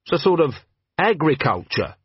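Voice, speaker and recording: A man's voice: fairly high pitch, sing-song, moderate pace, slightly rough, dry recording, muffled recording, voice pushed up, full character voice. A man voicing a weary, sarcastic middle-aged police commander with a dry, sardonic delivery.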